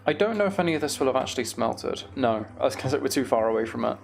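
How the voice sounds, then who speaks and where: Creaky-voiced man livestreaming a game. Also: medium pitch, animated, rapid-fire, soft background music. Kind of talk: casual gaming livestream chat with commentary.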